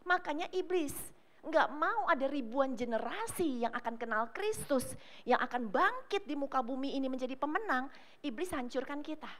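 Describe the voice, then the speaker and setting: Woman pastor, high-pitched, fervent sermon delivery, addresses the congregation directly throughout. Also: lively intonation, moderate pace, a little hiss or hum. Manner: emphatic preaching, often addressing listeners as brothers and sisters; swings between loud declarations and low, soft asides. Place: church service.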